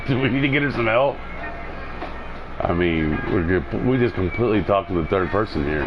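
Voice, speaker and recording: A man's voice: lowish voice, lively intonation, normal speed. A man with a Texan accent hosting an online radio show, rambling and joking with his chat while he plays. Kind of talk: casual livestream chat while gaming. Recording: dull lo-fi stream with music behind. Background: music.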